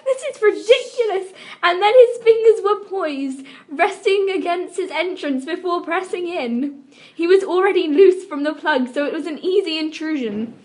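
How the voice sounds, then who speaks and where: A young woman with a high voice reads fan fiction aloud as an amateur. She performs it as a dramatic reading. Her delivery is giggly and prone to breaking up. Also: expressive, normal speed, darkish tone. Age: young adult. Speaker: amateur reader. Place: room at a school.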